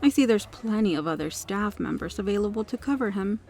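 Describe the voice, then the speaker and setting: A woman's voice: mid-range voice, lively intonation, brisk, darkish tone, faint music behind, lightly acted. A woman playing a kind, caring restaurant regular in an ASMR roleplay, soothing and reassuring. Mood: polite, assertive.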